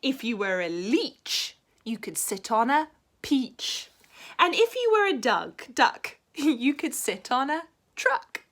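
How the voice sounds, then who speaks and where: A woman doing a shrill bossy cartoon frog. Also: huge pitch swings, slow pace, sharp tone, exaggerated voice. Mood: gleeful. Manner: shrill, emphatic, sing-song rhymes. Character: bossy rule-making frog, squeaky and loud.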